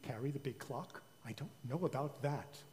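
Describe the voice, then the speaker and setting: A man's voice: medium pitch, moderate pace, darkish tone, slight background noise, lightly acted. A man voicing a fretful, indecisive king and father who stammers and repeats himself pompously, in a storytelling performance. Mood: worried, doubtful. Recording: reverberant church hall.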